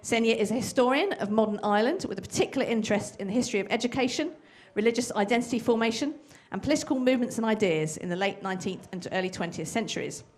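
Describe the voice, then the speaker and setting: A woman's voice: on the high side, darkish tone. A woman archivist welcoming an audience, going through housekeeping notes and introducing the speaker in a businesslike way. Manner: brisk, businesslike announcing. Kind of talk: formal event introduction.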